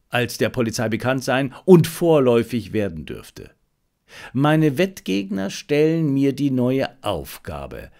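Gentleman sleuth, done by a male audiobook reader, commanding, precise, takes his time, pitch moves around a lot. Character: brisk, analytical gentleman amateur detective.

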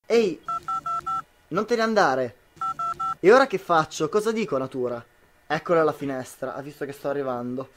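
A man's voice: fairly high pitch, fairly quick, pauses often, background noise, natural voice. A young man playing a troubled, disbelieving husband in an amateur radio drama. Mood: panicked. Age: young adult.

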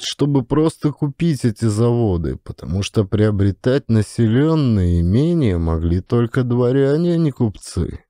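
Dark-voiced man narrating a dramatic audiobook. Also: fairly low pitch, dry recording, clean studio sound. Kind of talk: expressive dramatic storytelling narration of classic prose.

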